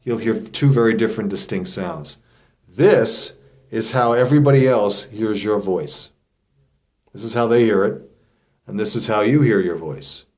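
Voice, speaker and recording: A man's voice: on the low side, measured pace, darkish tone. A man speaking as a vocal coach, giving a casual, step-by-step explanation in an informal, demonstrative way. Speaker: vocal coach hosting an instructional video. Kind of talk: casual explanatory lesson to camera.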